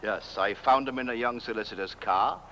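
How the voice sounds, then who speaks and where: An actor with a British accent playing a self-important, dim village constable in a comic film, rambling and pompous. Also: male voice, mid-range voice, brisk, sharp tone, dull audio, a little hiss or hum. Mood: solemn, tactless. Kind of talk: 1970s comic film acting.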